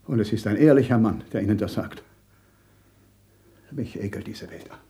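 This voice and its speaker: A man's voice: lowish voice, moderate pace, creaky, dark tone, slight background noise. An actor in a 1960s German radio drama playing a scornful, indignant man who declares his honesty in an emphatic, declamatory way. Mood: indignant disgust.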